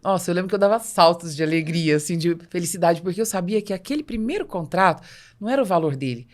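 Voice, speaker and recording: A Brazilian woman hosting a podcast interview, telling personal anecdotes in an animated way and acting out dialogue. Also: fairly low pitch, quick pace. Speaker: podcast host and business coach.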